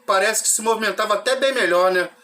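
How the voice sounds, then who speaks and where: A football fan channel host from Rio, talking in a high, bright voice gone hoarse from a cold. He runs through lineups and results in a loose, chatty way, often checking in with his listeners. A dry cough hangs under his voice. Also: fast. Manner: chatty, frequent "né?" tag questions. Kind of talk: casual match recap to fans.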